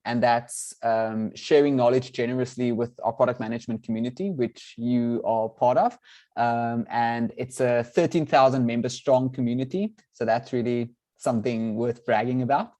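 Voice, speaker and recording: Casting note: young man whose first language is Afrikaans, mellow voice, mid pitch, friendly, filler-heavy webinar host on a video call. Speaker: event host, product manager. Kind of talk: webinar introduction and audience poll.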